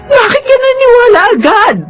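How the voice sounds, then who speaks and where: Middle-aged Filipino mother, reproachful and anguished, highly expressive radio drama delivery over faint music. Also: normal speed, raised voice. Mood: shocked, scolding.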